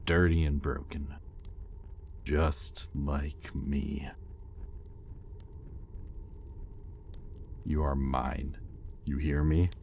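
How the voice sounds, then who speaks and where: A man playing a possessive, conflicted demon in an intimate audio roleplay, with halting, sardonic delivery and secret tenderness. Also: very deep voice, little pitch movement, gravelly, darkish tone, slight background noise, heavily acted. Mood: possessive, intense. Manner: intimate, halting, sardonic, with sighs and muttered curses. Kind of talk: dramatic audio roleplay addressed to the listener.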